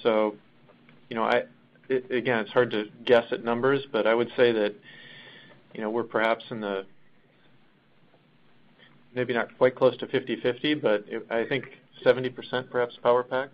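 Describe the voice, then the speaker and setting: A man's voice: mid-range voice, little pitch movement, moderate pace, long pauses. Tentative man on a muffled business call. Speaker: company executive answering questions. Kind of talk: unscripted earnings call answers. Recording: dull, lo-fi conference call audio.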